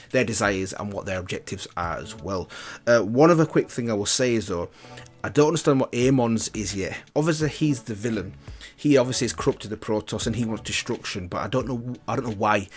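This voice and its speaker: A man with a fairly low voice hosting a reaction video. He chats casually, rambling a little as he thinks aloud and repeats phrases. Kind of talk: casual chatty commentary.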